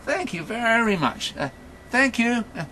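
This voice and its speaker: Storybook old man, played by a male storyteller: high-pitched, poor, kindly, hesitant, very animated delivery. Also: measured pace, slight background noise, full character voice. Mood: grateful. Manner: hesitant, fussing, repeats words. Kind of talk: live oral storytelling.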